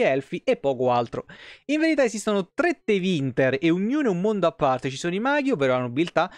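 Higher-voiced man, dry close sound. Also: no room sound. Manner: colloquial, profane, stumbles and self-corrects while reading. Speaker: live streamer. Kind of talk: reading game lore aloud with casual asides. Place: small room at home. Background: faint background music.

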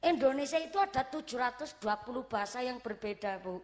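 A woman's voice: fairly high pitch, fairly quick, faint music behind. A middle-aged woman from Cilacap with a Banyumasan ngapak Javanese accent, giving a joking religious lecture and mixing Javanese with Indonesian. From Cilacap, Central Java. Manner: animated, joking, switches between Javanese and Indonesian, laughs often. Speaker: preacher.

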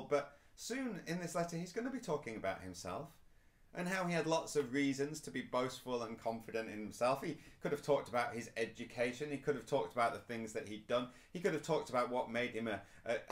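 A man, preaching a recorded sermon to camera in a small indoor room, earnest and emphatic. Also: a little high. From England. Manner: earnest, rising and falling emphasis, gestures while speaking. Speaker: pastor.

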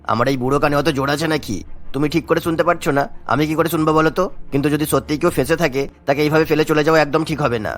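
A man's voice: fairly high pitch, fairly even intonation, fast, soft background music, close to an everyday voice. A man voicing a kindly, dutiful elderly village doctor in a cartoon, earnest and reassuring in manner. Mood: concerned. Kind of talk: Bengali cartoon voice acting.

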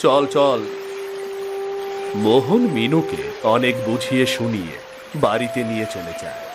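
A man's voice: medium pitch, sing-song, normal speed, very noisy. A man giving scripted storybook narration for a cartoon fairy tale. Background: music.

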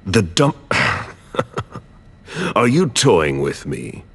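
A commanding vampire investigator in a game cutscene. His voice is exceptionally deep and husky. He questions curtly, with cold impatience and quiet intimidation. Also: big pitch swings, very slow, exaggerated voice. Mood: suspicious, insistent. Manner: curt, interrogating, intimidating. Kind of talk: video game cutscene acting.

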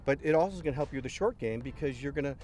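A middle-aged man interviewing a golfer outdoors on a golf course, talking in a salesy way and often repeating words, picked up by an overhead boom mic. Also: rapid-fire, darkish tone. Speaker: company head interviewing a golfer. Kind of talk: promotional walk-and-talk interview.

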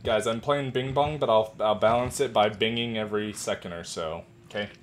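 A young man with a fairly low voice talks casually with friends during an online game, as a video creator would. His home headset recording carries clear background noise. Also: steady tone, normal speed.

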